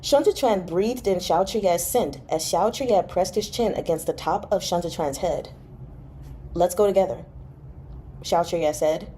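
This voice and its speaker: A woman hosting a read-along, narrating a novel and adding chatty, excitable asides. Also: lowish voice, a little slow, few pauses, slight background noise. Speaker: live read-along host.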